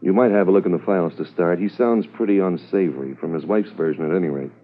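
An actor playing a brisk, authoritative police commissioner in a 1950s radio drama, methodical and official. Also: male voice, deep voice. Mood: businesslike, briefing. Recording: muffled, thin old radio recording.

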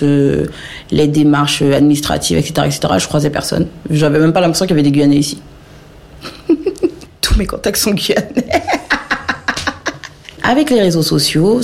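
A woman in her thirties from French Guiana, speaking slowly with many pauses and fillers as she recalls her life. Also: sits low, gravelly, a little mellow. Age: 30s. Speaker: interviewee. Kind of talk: reflective personal testimony.

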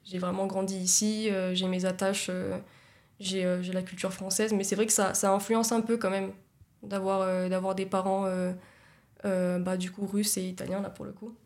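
A woman's voice: medium pitch, level tone, normal speed, darkish tone. A young woman from Nice, a guest in a podcast interview, speaking hesitantly with many restarts. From Nice, France. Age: young adult. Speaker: guest, agency co-founder.

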